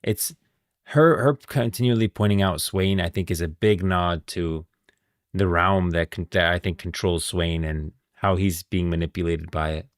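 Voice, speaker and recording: A man's voice: low voice, fairly even intonation, moderate pace, no echo, clean studio sound. A man giving casual, opinionated live-stream commentary, thinking aloud with emphasis.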